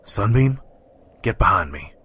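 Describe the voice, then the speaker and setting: Man playing a low, forceful pony trader. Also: big pitch swings, a little slow, reverberant, distant mic, a little hiss or hum. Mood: tense, guarded. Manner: terse, guarded. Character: wary, gruff stallion wasteland trader, world-weary narrator. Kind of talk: first-person story narration and dialogue.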